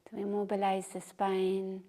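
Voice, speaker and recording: A woman teaching meditation and gentle movement, guiding in short, unhurried phrases with pauses. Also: mid-range voice, completely flat delivery. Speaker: taiji and qigong meditation teacher. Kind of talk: guided meditation and movement instruction. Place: retreat session, live group class.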